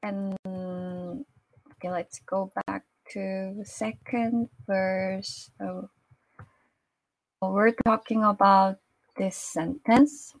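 A woman's voice: medium pitch, fairly even intonation, very slow, poor audio. A woman with a Korean accent, a native Korean speaker, teaching Korean in English during a live-stream lesson, switching between the two languages and laughing often.

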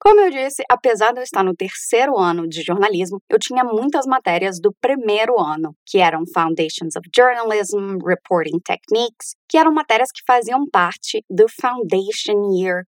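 Young brazilian woman chatting about her studies, mid-pitched, close dry mic. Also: lively intonation, moderate pace, hardly any pauses, no echo. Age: young adult. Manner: chatty and enthusiastic, laughs now and then. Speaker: journalism student recounting her exchange. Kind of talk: casual personal account.

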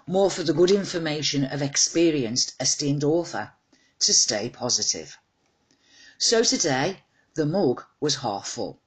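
A woman's voice: low voice, breathy edge. Woman reading a wry short story. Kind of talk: scripted storytelling narration.

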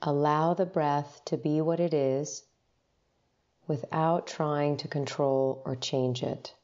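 Low, slow, mellow woman guiding meditation. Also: fairly even intonation, dull audio. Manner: gentle, unhurried, instructional, with long pauses between short phrases. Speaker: meditation guide. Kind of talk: guided meditation narration.

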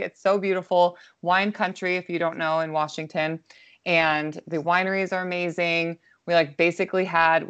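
Hoarse chatty woman, lo-fi mic. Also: lowish voice. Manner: chatty, lots of "like", anxious rambling. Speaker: podcast co-host. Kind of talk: casual chat.

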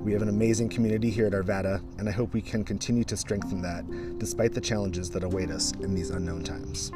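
A man hosting a school community interview podcast as an assistant principal, with a measured, upbeat delivery. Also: lowish voice, fairly even intonation. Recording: music bed under the voice. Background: background music.

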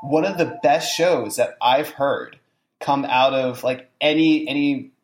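A young adult podcast host in casual chat, lively and full of "like", slipping into mocking quoted voices. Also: medium pitch, a little slow, faint music behind. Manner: animated, says "like" a lot, does mocking quoted voices. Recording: echoey room.

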